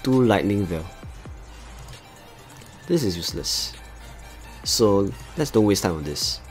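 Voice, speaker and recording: A man's voice: on the low side, big pitch swings, takes it slowly, soft background music. A man from Singapore with a Singaporean accent, chatting casually to viewers as he hosts a live game stream. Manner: chatty, talks to viewers as he plays. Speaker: livestream host.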